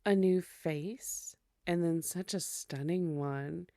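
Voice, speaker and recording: A close, dry, unhurried voice, a woman playing a seductive vampire in an audio drama. She is coy and teasing, wrapping flirtation in elegant, mock-polite courtesy. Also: lowish voice, warm tone, no echo. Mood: flirtatious. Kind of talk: scripted ASMR audio roleplay acting.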